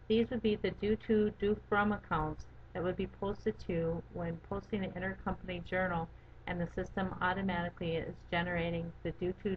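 Woman narrating a software tutorial, dull sound. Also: on the low side. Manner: methodical, instructional. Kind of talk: step-by-step software walkthrough narration. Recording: dull lo-fi audio with background noise.

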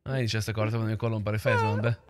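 A man's voice: on the low side, completely flat delivery, slight background noise. A young man who streams roleplay gaming, chatting casually with blunt, sweary banter. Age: young adult. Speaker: streamer playing online roleplay game.

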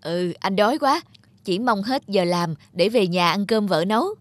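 A man playing a gentle, hardworking husband and father in an animated drama dub. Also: sits high, normal speed, noisy background, natural voice. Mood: affectionate.

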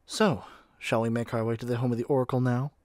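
A man's voice: mid-range voice, steady tone, fast talker, darkish tone, dry recording, lightly acted. A man playing a haughty, impatient god in an audio roleplay, with an imperious, theatrical way of talking. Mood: impatient, exasperated. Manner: imperious, theatrical, addresses listener as mortal. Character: haughty, impatient male god of magic rooming with a human.

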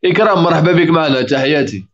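A man, hosting a casual live call-in stream and guiding callers on their mics. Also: fairly high pitch. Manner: fast, coaching callers on their mic. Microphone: video call. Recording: dull lo-fi online call audio.

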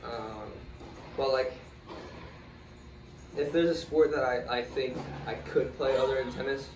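A man's voice: mid-range voice, unhurried, background noise. Laid-back young man, roomy distant sound. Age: young adult. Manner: relaxed, many fillers like "like" and "um". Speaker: guest, tennis player. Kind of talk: casual interview answers. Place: small room, in doors, seated in armchair. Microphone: far camera.

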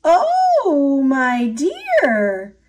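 Dragon faking a frail granny a woman acts it: hoarse, high, slow, slyly sweet. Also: wildly swooping pitch, darkish tone, exaggerated voice. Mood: feigning weakness. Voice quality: hoarse, breathy. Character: sly dragon posing as frail sick grandmother.

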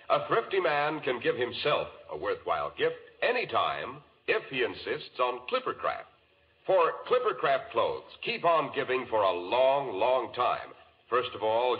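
A man with an American accent announcing and hosting in scripted 1940s radio style. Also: medium pitch. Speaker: announcer and host. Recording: muffled, hissy 1940s radio recording.

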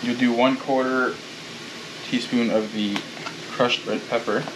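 A man's voice: medium pitch, steady tone, measured pace, noisy background. Young man giving recipe steps over sizzling. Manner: plain, step-by-step instructions. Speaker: home cook. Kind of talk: explaining a recipe. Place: home kitchen, indoors. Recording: loud sizzling and boiling behind. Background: frying and boiling pots.

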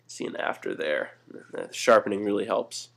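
A young man casually talking through photo editing steps in a screen-recorded tutorial, relaxed and full of "uh" fillers. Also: lowish voice, moderate pace, gravelly. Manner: relaxed, many "uh" fillers and restarts.

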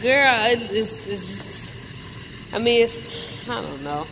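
A woman's voice: fairly high pitch, slow pace. Chatty young woman vlogging, noisy background. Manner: chatty, animated, addresses viewers as y'all. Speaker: vlogger, truck driver. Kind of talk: casual vlog chat. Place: hotel and truck cab. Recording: background noise.

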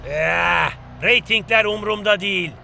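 A vain cartoon football star, speaks in a very high, creaky voice with a sharp, bright edge. He is theatrical and boastful, turning every line into drama. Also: normal speed, faint music behind, exaggerated voice. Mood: scornful. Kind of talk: exaggerated cartoon dubbing.

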